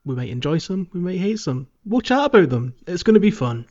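A man co-hosting a casual podcast discussion, speaking with hesitant, thoughtful pauses. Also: high voice, big pitch swings. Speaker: podcast co-host. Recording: slightly dull, lo-fi mic, music behind.

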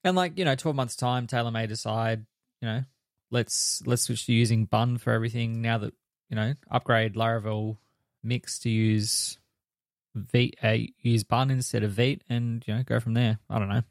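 A man co-hosting a podcast, talking in a relaxed way and thinking aloud with lots of "you know"s. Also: medium pitch, moderate pace, no echo, clean studio sound. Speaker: podcast co-host. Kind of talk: casual chat.

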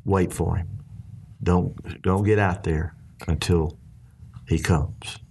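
Gravelly low Southern-accented man. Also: steady tone, slow, darkish tone. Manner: folksy, earnest, restarts often. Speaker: ministry leader. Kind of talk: casual faith discussion.

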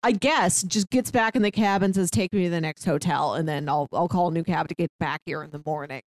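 A woman's voice: lowish voice, a little fast, no echo. Wry woman chatting, close dry sound. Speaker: podcast co-host reviewing films. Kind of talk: casual conversational recap.

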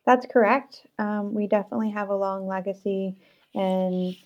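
A woman in her twenties from Vermont, answering interview questions as an athlete guest in a steady, articulate way. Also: medium pitch, moderate pace. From Vermont, United States. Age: 20s. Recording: lo-fi remote call line.

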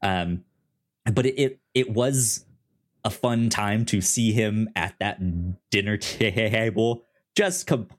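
Man, lower-pitched voice, podcast co-host, casual discussion, halting delivery with repeated sounds and words. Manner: halting speech with repeated syllables and words, stutter-like.

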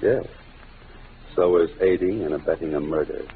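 An actor in a 1940s radio drama playing a confident, wisecracking detective with a dry, clipped delivery. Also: male voice, sits low, moderate pace, slight background noise, close to an everyday voice. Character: hard-boiled wisecracking male detective. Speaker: lead of a radio mystery. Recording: tinny old radio broadcast with music.

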